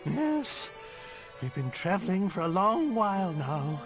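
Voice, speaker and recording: A man's voice: high voice, very animated, a little slow, voice pushed up, full character voice. A man voicing a pompous, bossy quest companion from a video game, declaiming in a grandiose, theatrical way. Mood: bossy, triumphant. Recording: game music behind the voice.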